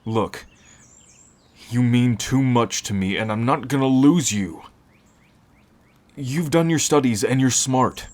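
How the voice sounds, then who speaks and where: A man playing a gruff, brooding teacher who is turning into a werewolf, halting and protective, in an ASMR roleplay. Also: medium pitch, lightly acted. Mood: worried, tender. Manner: halting, sighing, sardonic.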